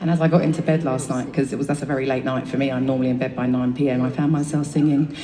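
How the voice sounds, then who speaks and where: A woman's voice: extremely low pitch, flat delivery, racing. A woman with a London accent chatting to her concert audience between songs, rambling, swearing freely and laughing at herself. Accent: London English. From England. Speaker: singer on stage. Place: large concert venue. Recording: live concert audio, echoey arena from far off with crowd.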